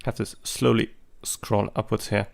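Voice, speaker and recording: Man in his 30s with a German accent, low and husky-edged fry, rambling and hesitant; casual commentary. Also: flat delivery, a little slow. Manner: rambling, hesitant, many fillers. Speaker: photography vlogger.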